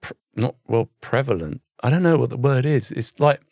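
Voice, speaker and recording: A man's voice: medium pitch, moderate pace, vocal fry, darkish tone, dry recording, clean studio sound. A British man co-hosting a podcast in casual banter, bemused and rambling as he searches for words. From United Kingdom. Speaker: podcast co-host.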